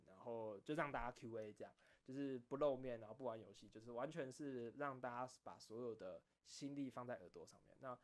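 A man with a Taiwanese Mandarin accent chats casually to his viewers as an online host, rambling a little, correcting himself and repeating phrases. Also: medium pitch. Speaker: online video creator and host. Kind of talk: casual late-night chat to viewers.

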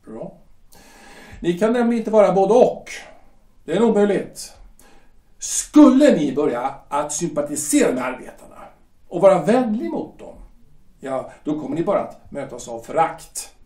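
A man's voice: fairly high pitch, sing-song, long pauses, mellow. An actor playing a stern male shipyard director who lectures formally, with hesitant fillers, in an audio drama. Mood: formal lecturing. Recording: roomy echo, distant from mic.

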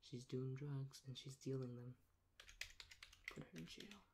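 A young man in a soft-spoken ASMR role play as a rude emergency dispatcher, talking in a deadpan, sarcastic and dismissive way. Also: mid-range voice, measured pace. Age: young adult. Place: small plain room indoors. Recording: clear background noise, keyboard clicks.

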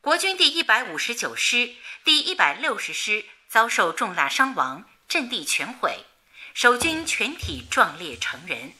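An actress playing a solemn wartime radio announcer, reading bulletins in a grave, declamatory, emphatic manner in a radio drama. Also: female voice, a little high, big pitch swings, measured pace, exaggerated voice. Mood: grave, urgent. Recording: loud music behind.

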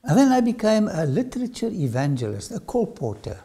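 An older man with an Afrikaans accent, a lecturer telling his own story to camera in a studio, swinging from loud phrases to whispers. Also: high voice, big pitch swings, fairly quick, slightly rough. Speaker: archaeology lecturer. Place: studio with dark backdrop.

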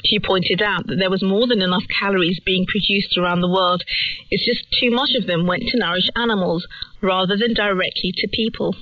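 A woman's voice: mid-range voice, steady tone, brisk, no echo. A woman presenting a radio documentary with scripted narration. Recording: dull lo-fi shortwave radio capture.

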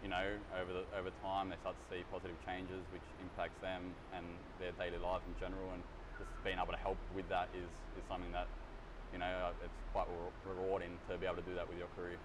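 A young man with a deep, low voice talks quickly and loosely, full of ums and restarts as he answers questions. He sits in a big gym studio, picked up from across the room, with a clear noisy hiss underneath. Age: 20s. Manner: relaxed, rambling with many fillers and restarts. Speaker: exercise physiologist. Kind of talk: casual interview answers. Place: large gym studio, indoors.

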